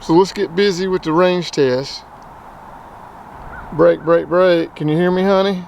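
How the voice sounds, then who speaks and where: A man's voice: high-pitched, fairly even intonation, unhurried, vocal fry, darkish tone, a little hiss or hum, rough recording. A man giving a casual, plain-spoken product review as a channel host.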